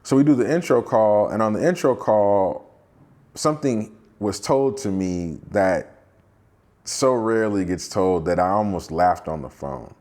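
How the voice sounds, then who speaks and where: American male advisor, low register, emphatic and plain-spoken explainer storytelling. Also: expressive, unhurried, a little mellow. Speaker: due diligence advisor, quality of earnings provider. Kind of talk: explanatory storytelling to camera with acted-out dialogue.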